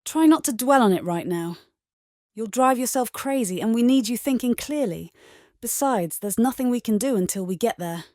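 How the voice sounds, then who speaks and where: A woman playing a calm, reassuring doctor in an audio comic, with a soothing, measured manner. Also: on the high side, no echo, studio quality, close to an everyday voice. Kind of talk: audio comic dramatic acting.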